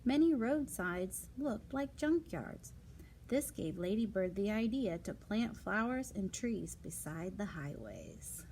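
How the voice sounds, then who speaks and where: A woman with a medium-pitched voice reads children's non-fiction aloud. She breaks up the reading with casual asides and simple questions aimed at young listeners. There is some noise behind her voice. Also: expressive, slow, darkish tone. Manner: simple child-directed explanations and rhetorical questions.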